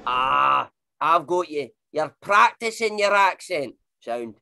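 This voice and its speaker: A woman's voice: deep voice, lively intonation, slow pace, gravelly, bright, forceful tone, heavily acted. An actress playing a chatty, blunt, sweary Glaswegian woman with slangy matey talk in an audio comedy. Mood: friendly, curious. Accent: Glaswegian Scottish.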